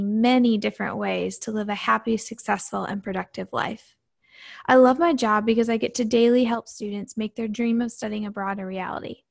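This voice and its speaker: A woman from Michigan hosts a university podcast, reading her prepared intro in an upbeat, earnest way. Her voice sits a little high, and a gravelly fry runs under her words. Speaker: podcast host.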